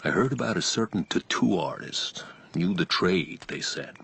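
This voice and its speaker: An actor playing a haunted, world-weary carnival man in a radio drama, telling his story in terse, brooding, hushed phrases. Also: low-pitched, lively intonation, moderate pace, gravelly, breathy edge, full character voice. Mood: haunted recollection.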